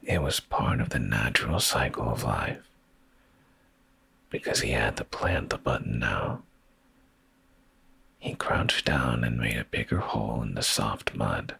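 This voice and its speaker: Man, bottomless low pitch, thick gravelly creak, hushed breathy tone, intimate ASMR bedtime storyteller, soothing. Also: animated, a little slow, pauses often. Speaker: ASMR streamer reading bedtime stories.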